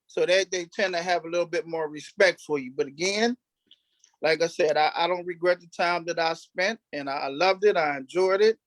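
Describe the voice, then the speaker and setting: A man's voice: fairly high pitch, moderate pace, a bit bright, dry recording. A middle-aged man casually reminiscing in an interview, earnest and repeating himself for emphasis. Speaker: cast member being interviewed, military veteran.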